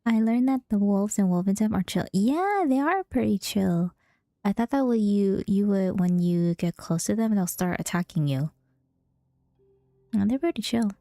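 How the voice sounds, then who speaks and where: Female streamer: friendly, giggly, casual chat, soft dark tone, dry close mic. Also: medium pitch, expressive, unhurried, long pauses, no room sound. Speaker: game streamer. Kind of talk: casual livestream chat while playing. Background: game music.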